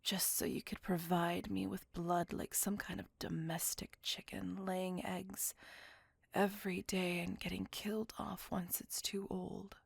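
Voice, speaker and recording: A woman performs a melancholy, courteous female vampire in an intimate ASMR roleplay. Her soft voice is very breathy and close, with a dry sound. She speaks slowly and formally, with wistful tenderness. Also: on the low side, little pitch movement, dry recording, exaggerated voice. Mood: wistful, yearning.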